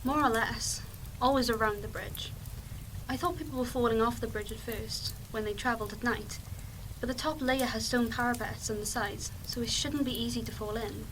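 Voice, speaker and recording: A determined local woman, played by an actress in an audio drama. She is matter-of-fact and high in pitch, heard at some distance with clear background noise. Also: fairly even intonation, moderate pace, fairly bright tone, lightly acted. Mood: grave, insistent. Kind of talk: fan audio drama acting.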